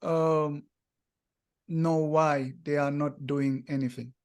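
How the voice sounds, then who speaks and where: A man interviewing a guest, asking slow, deliberate questions with many fillers. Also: a little high, little pitch movement, smooth.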